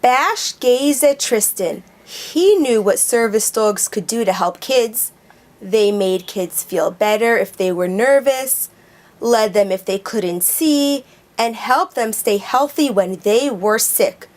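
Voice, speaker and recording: Woman, children's book reader, slow careful reading, slightly high voice. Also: animated, a little mellow. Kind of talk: reading a picture book aloud.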